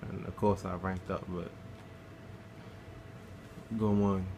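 Low-voiced dude narrating his gameplay, flat and laid-back, cusses a lot. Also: takes it slowly, vocal fry, background noise. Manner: laid-back, swears casually, talks through his play. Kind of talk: casual game walkthrough commentary.

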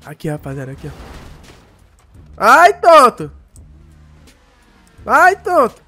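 High-voiced man with a cold. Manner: excitable street slang, quick exclamations. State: congested with a cold. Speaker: roleplay streamer. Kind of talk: casual gameplay chat. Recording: music playing behind the voice.

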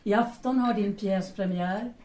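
A woman's voice: medium pitch, a little mellow, natural voice. A woman playing a self-assured, coquettish, teasing lady in an audio drama, playful and theatrical. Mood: composed, knowing. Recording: distant, echoey room with faint noise.

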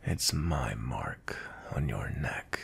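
A man playing a cold, possessive, dominant vampire knight in an intimate ASMR roleplay, with a slow, breathy, menacing murmur. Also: a bass voice, sing-song, fairly bright tone, full character voice. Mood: possessive, hungry. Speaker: audio roleplay voice actor.

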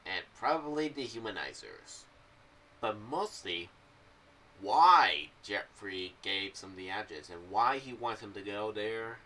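A young man giving casual, rambling commentary to camera with a halting, emphatic delivery. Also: on the low side, pushed and bright, recorded from a distance, a little hiss or hum. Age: young adult. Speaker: fan theory vlogger. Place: small home room. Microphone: boom-arm condenser mic with pop filter.

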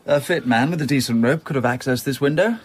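Man playing a careful police doctor in a radio mystery, precise, middling pitch. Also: fast, husky, close to an everyday voice. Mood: matter-of-fact. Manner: clinical, precise. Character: cautious, matter-of-fact male police doctor. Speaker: actor. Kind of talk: dramatic radio play acting.

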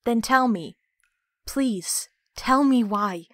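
A woman playing a betrayed, defiant captive in an audio drama, speaking with hurt, accusing, sharp-tongued emotion. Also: fairly high pitch, animated, takes it slowly, no echo, clean studio sound. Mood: pleading. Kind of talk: audio roleplay acting.

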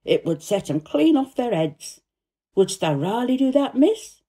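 A woman playing a cheerful, warm-hearted young housemaid who gossips eagerly in broad Yorkshire dialect, in a storybook reading. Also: a little high, sing-song, measured pace, husky, voice pushed up, heavily acted. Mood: delighted.

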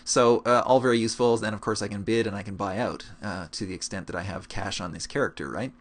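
A man with a fairly low voice talks through an on-screen demo. His delivery is relaxed and explanatory, with plenty of small hesitations as he clicks around. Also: fast talker. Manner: relaxed, explanatory, with many fillers. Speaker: game gold-making guide. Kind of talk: screencast walkthrough.

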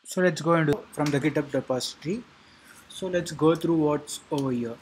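A man narrating an informal software tutorial in a halting, repetitive way, with a husky voice. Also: on the high side, slight background noise, rough recording. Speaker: tutorial presenter.

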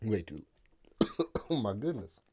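A man in his thirties hosting a crypto live stream, hoarse and losing his voice, talking bluntly with wry laughs into a studio mic. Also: on the low side, sing-song, measured pace, dark tone, a little hiss or hum. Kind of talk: casual opinionated commentary. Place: dark studio room, indoors. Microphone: studio mic on stand in front.

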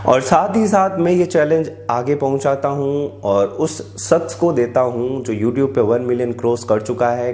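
एक युवा आदमी अपने घर के छोटे कमरे में जिम का सामान अनबॉक्स कर रहा है, आराम से बातें करता है, बीच-बीच में "अ" बोलता है और अंग्रेज़ी शब्द मिलाता है।. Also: male voice, medium pitch, a little mellow. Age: young adult. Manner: chatty, many filler sounds, English words mixed into Hindi. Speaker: tech YouTuber unboxing gym gear. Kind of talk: casual unboxing vlog. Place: small indoor room with a tiled wall.

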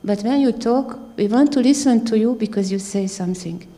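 A woman in her fifties with a low voice, a scientist speaking earnestly on a panel with slightly halting phrasing, holding a handheld mic. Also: mellow, in a large hall. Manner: earnest, proud, halting non-native phrasing. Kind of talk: panel discussion. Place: theater stage at a panel event.

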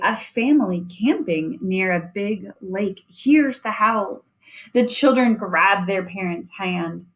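A woman reading a children's nonfiction picture book aloud in a deliberate way, with chatty asides. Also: medium pitch, rough recording. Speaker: reader of children's science book.

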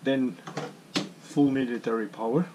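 Calm man explaining step by step. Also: medium pitch, measured pace. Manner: calm, step-by-step, non-native phrasing. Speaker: home cockpit builder. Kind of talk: hands-on demonstration. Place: home workshop with a simulator cockpit. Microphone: camera mic, speaker off screen. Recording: clear background noise.

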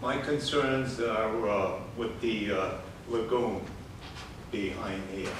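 A man's voice: lowish voice, steady tone, slow pace, background noise. An older man with a New England accent making a rambling, blunt public complaint at a city commission podium, with lots of fillers. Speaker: resident giving public comment. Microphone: podium gooseneck mic. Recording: echoey chamber, mic at a distance.

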